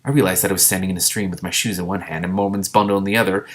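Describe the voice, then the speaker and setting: Male story reader, lowish pitch, expressive narration with informal asides. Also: rapid-fire. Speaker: host reading a novel aloud with commentary.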